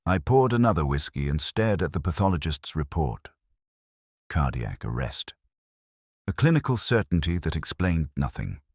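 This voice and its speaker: Very deep, brooding police inspector played by a man. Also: dry recording, clean studio sound, heavily acted. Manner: measured, ruminative, literary turns of phrase. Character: melancholy, sceptical English male police inspector narrating his case. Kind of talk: first-person scripted audio drama narration.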